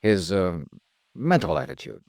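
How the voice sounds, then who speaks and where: An actor playing a smooth, wry insurance investigator in a 1950s radio detective drama, probing politely with deliberate pauses. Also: male voice, deep voice, sing-song, a little mellow, no echo, studio quality, full character voice. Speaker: lead actor and narrator.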